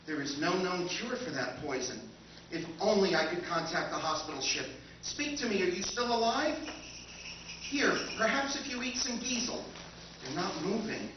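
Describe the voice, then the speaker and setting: Distant echoey recording, male actor as protective machine lifeboat, old-time radio drama, formal, pleading, solemn, swooping pitch. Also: expressive, moderate pace. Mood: anguished pleading. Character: sentient lifeboat machine, female-addressed, dutiful, protective, mournfully solemn. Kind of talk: 1950s radio drama acting. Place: live convention stage performance. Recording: distant, echoey hall, dull, noisy live recording.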